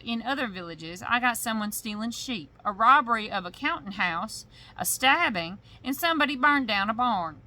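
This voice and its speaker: A woman's voice: fairly high pitch, low-quality recording. A woman voicing a defensive, overworked male village sheriff in a thick put-on drawl during a bedtime story reading. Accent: put-on thick rural drawl. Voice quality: loud, indignant, rising when challenged.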